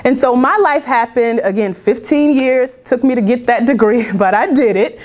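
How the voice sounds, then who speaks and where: A middle-aged woman speaks in a fairly high voice. She works a small audience as an animated, informal motivational coach, asking questions and chatting with them directly. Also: moderate pace. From Georgia, United States. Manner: upbeat, interactive, animated. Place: indoor meeting room.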